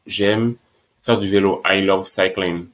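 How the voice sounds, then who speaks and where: Slow, low-voiced male English teacher. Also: steady tone, fairly bright tone, slight background noise. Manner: slow, repeats each phrase in French and English. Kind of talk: French-language English lesson.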